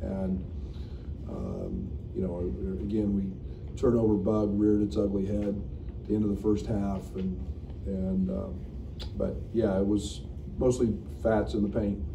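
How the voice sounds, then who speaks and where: A middle-aged man, a basketball coach, answering questions at a postgame press conference in a frank, halting way with many fillers. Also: on the low side, animated, creaky, recorded from a distance. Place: press room at a table. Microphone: table mic, recorded from the room. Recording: echoey room, picked up from afar, noisy.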